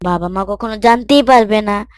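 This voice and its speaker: A cocky young boy from a moral cartoon, acted by a woman, pitched in the middle range for a woman. He coaxes slyly and brushes off objections brashly, in a dry recording. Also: moderate pace, no echo, lightly acted. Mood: coaxing. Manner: coaxing, dismissive, brash. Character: sly, cocky young boy tempting his friends. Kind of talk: children's moral cartoon dialogue.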